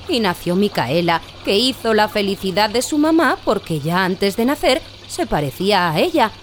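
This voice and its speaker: A woman narrating a scripted children's audio tale as an animated storyteller. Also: medium pitch, slight background noise.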